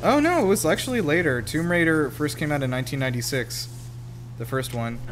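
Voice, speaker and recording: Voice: mid-range voice, lively intonation, a little fast, a little hiss or hum. A podcast co-host giving casual, sarcastic, joking reactions to a movie, with the film playing behind. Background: film music and dialogue.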